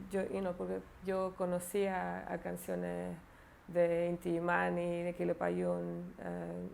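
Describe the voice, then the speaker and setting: A woman's voice: medium pitch, vocal fry, slight background noise. A woman in a documentary interview, recalling her childhood hesitantly, with frequent fillers and English phrases slipped into her Spanish.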